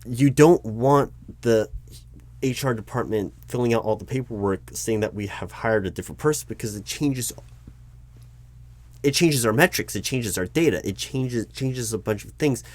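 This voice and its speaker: A man playing a flustered human resources manager in an audio roleplay, explaining insistently to his boss in a halting, repetitive way. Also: medium pitch, lightly acted. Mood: exasperated. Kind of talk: one-sided improvised audio roleplay, loud spoken.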